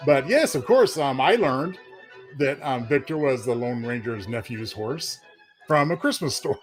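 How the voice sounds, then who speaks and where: A man's voice: mid-range voice, expressive, moderate pace, faint music behind, poor audio. A man co-hosting a casual chat about old-time radio. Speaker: co-host.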